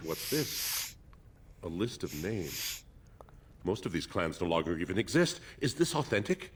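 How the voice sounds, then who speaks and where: A man playing a formal, learned dwarf archivist with a husky voice in a fantasy video game. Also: low voice, very animated, unhurried, full character voice. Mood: formal curiosity.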